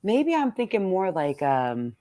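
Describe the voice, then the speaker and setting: A woman's voice: lowish voice, very animated, measured pace, mellow, no room sound, studio quality. A woman speaking as a poet and host, casually and candidly introducing a poetry reading, salty-tongued and thoughtful as she searches for words.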